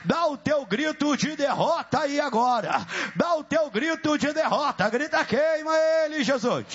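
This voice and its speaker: A man with a Brazilian accent preaching a fervent deliverance sermon, shouting commands and repeating chants as he leads an exorcism. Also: very high-pitched, lively intonation, a little slow. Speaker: evangelical preacher leading an exorcism. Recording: dull lo-fi recording.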